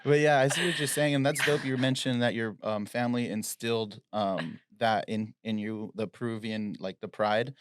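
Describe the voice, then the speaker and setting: A young man co-hosting a podcast, speaking in a relaxed, laid-back casual chat. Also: on the low side, moderate pace, hardly any pauses, clear, resonant tone, dry recording, studio quality. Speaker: podcast co-host.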